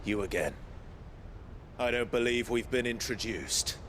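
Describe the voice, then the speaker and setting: A man's voice: medium pitch, animated, unhurried, fairly bright tone, slight background noise. An actor playing an angry, defiant young nobleman in a video game, intense and confrontational in clipped phrases. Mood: cold anger. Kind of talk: dramatic video game voice acting.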